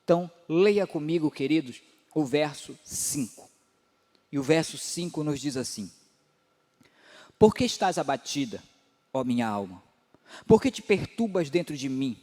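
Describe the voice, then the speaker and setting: A man in his forties preaching a sermon as pastor, building to emphatic rises and dropping low again. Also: lively intonation, unhurried, pauses often, darkish tone. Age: 40s. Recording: long hall reverb.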